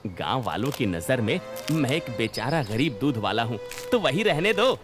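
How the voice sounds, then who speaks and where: A man plays a crafty village milkman in a children's cartoon tale. His mid-pitched voice swings widely, folksy and exaggerated, putting on humble simplicity over greed. Music plays behind him. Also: big pitch swings, moderate pace, natural voice. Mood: sly, gloating. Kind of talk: animated children's moral story dialogue.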